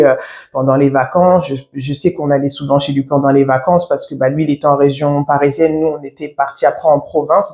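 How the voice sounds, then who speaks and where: Halting woman in her thirties giving testimony. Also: deep voice, warm tone. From France. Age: 30s. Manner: halting, reflective, many pauses. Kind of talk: personal faith testimony. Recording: echoey room.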